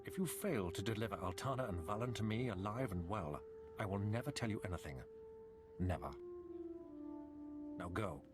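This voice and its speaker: A man plays a stern, guarded captive in a video game. He speaks low, in measured and formal demands. Loud music runs underneath. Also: lively intonation, close to an everyday voice. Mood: stern, insistent. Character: guarded, stern male captive bargaining for his family. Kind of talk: video game cutscene acting.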